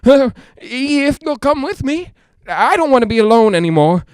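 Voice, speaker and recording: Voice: high voice, sing-song, normal speed, smooth, no room sound, clean studio sound. An actor playing a frightened young broadcaster coming unravelled in a haunted house, stammering and rambling breathlessly in a 1940s radio drama style. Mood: unhinged, lonely.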